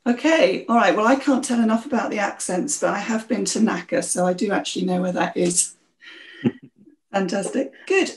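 A woman hosting a podcast, introducing the show and asking a guest clear, friendly questions. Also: mid-range voice, normal speed. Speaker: podcast host. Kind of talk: interview podcast.

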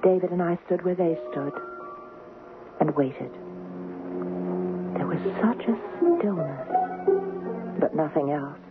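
An actress playing a dreamy, determined young fiancée in a radio drama, earnest, wistful and gently insistent. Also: female voice, on the low side, very animated, slow, pauses often, over loud music. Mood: hushed, wistful. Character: dreamy, determined young engaged woman narrating. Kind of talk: 1970s radio drama acting and narration. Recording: tinny, very noisy 1970s radio broadcast.

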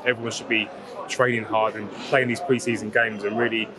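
Footballer with a flat, even voice. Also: male voice, lowish voice, fairly quick, a crowd in the background. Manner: relaxed, fluent, filler-heavy answers. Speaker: footballer, vice captain. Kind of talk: post-match interview. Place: outdoors at a football ground. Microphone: lapel mic.

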